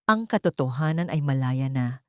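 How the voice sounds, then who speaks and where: A woman reading a dramatic audiobook story, voicing every character herself in one steady storytelling voice. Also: extremely low pitch, moderate pace, dry recording, studio quality. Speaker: audiobook narrator. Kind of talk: scripted dramatic narration.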